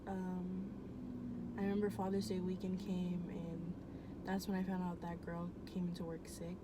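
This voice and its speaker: A woman's voice: lowish voice, completely flat delivery, normal speed. A young woman telling a personal story at home in a casual, rambling way, full of "um" and "like" and easy swearing. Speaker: vlogger. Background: air conditioner hum.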